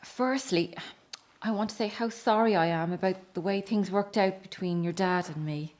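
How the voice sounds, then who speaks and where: A middle-aged estranged wife and mother in a radio play acted by a woman, earnest and wounded. She speaks haltingly and with feeling, as if confessing, sounding remorseful and a little defensive. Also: mid-range voice, a little mellow, natural voice. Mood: apologetic. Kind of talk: radio drama acting.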